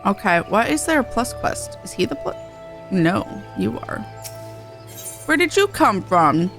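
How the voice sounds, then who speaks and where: A woman's voice: medium pitch, sing-song, a little slow, a bit bright, soft background music. A woman streaming a video game, chatting casually as she plays. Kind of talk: casual gaming chat.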